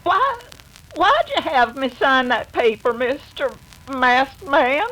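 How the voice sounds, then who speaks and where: A fretful older American ranch wife in a radio western, pleading and melodramatic. Her voice is extremely high, swooping widely, and drawn out slowly. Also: animated, a bit bright, exaggerated voice, rough recording. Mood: startled, puzzled.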